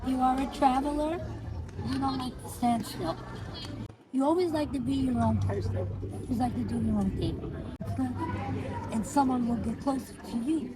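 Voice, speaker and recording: A woman's voice: high voice, normal speed, long pauses, heavy background noise. A woman in her fifties working as a psychic, giving readings in short, declarative statements outdoors. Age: 50s. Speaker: psychic card reader. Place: outdoors in a public square.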